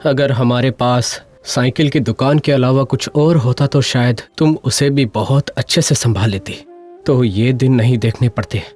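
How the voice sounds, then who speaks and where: A man voicing an affectionate, easygoing young husband from a poor household in an animated moral story, speaking tenderly and earnestly. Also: medium pitch, moderate pace, soft background music, natural voice. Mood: worried, tender.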